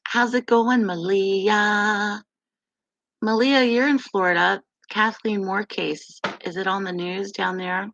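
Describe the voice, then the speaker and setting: Middle-aged woman host, gravelly low voice, unhurried chatty live stream delivery, dry close sound. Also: fairly even intonation, fairly bright tone, no echo, lo-fi sound. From West Virginia, USA. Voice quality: deep, gravelly voice. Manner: slow, rambling, laughs often. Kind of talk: casual live chat with viewers.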